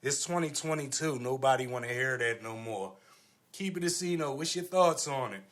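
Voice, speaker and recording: A man's voice: mid-range voice, normal speed, fairly bright tone. A man hosting a casual panel discussion, talking in slangy, argumentative phrases that he repeats for emphasis. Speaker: podcast host.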